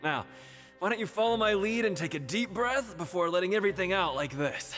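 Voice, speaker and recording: A man's voice: sits high, lively intonation, a little fast, fairly bright tone, faint music behind. A man voicing an upbeat, pushy motivational enthusiast in a video game, hearty and emphatic. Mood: fired up. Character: upbeat, pushy male pep-talk enthusiast, eager society member.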